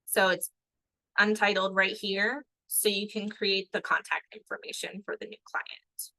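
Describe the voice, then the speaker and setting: A woman runs a live online tutorial, her voice bright and a little tense. The sound is dry and close, with no room around it. She keeps an upbeat, encouraging tone. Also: mid-range voice, flat delivery, unhurried, no room sound, low-quality recording. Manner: upbeat, encouraging, asks for understanding. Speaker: online trainer.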